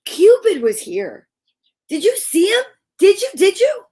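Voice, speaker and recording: A woman voicing an excitable, demanding little girl in a storybook, exclamatory and theatrical. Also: very high-pitched, measured pace, pitched above the performer's own voice, exaggerated voice. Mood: excited.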